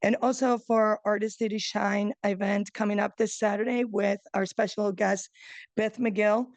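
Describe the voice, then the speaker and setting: A woman's voice: mid-range voice, fairly even intonation, normal speed, dry recording. A woman in her forties, from Chile, giving a nervous talk about her art gallery, reading from her phone, with many ums and uhs. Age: 40s. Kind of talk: nervous public speech read from a phone. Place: breakfast meeting room.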